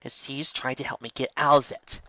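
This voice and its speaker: Male reader doing a quiet, steady young mare musician for a storybook; hushed, lo-fi. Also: rumbling low, fairly quick, no echo, exaggerated voice. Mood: coaxing. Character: determined, soft-spoken young mare musician. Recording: dull, lo-fi home recording.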